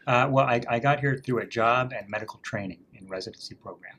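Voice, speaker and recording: A man from Canada working as a family doctor, answering interview questions in a measured way with frequent ums and uhs. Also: mid-range voice, fairly bright tone. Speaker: family physician guest.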